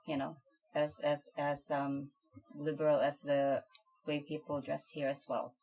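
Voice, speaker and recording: A woman from the Philippines, speaking as an interview guest, hesitant and filling pauses with ums. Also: low-pitched, darkish tone, poor audio.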